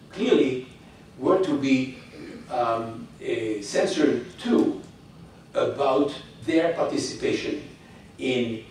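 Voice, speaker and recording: An older man giving a talk to an audience, halting and opinionated, with many restarts. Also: mid-range voice, a little mellow, a little hiss or hum. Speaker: guest speaker. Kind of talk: public talk with audience questions. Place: hall with audience. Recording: echoey hall from far off.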